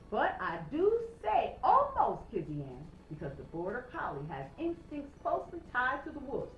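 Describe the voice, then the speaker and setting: Woman acting out a stern old shepherd, emphatic and gruff. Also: medium pitch. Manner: commanding, emphatic, measured instruction. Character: stern old Scottish sheepdog trainer, gruff but caring. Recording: roomy, slightly noisy, dull home recording.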